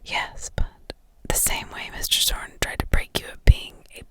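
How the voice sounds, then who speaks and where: A woman's voice: a little slow, natural voice. A woman reading the part of a defensive, irritable male prison officer giving curt, evasive answers in a whisper.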